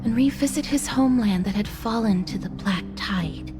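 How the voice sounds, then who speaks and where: An actress voices a gentle, serious woman in a fantasy game. Her mid-pitched voice is soft and formal, explaining things solemnly with music underneath. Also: moderate pace, natural voice. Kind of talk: dramatic game dialogue. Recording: background music behind the voice.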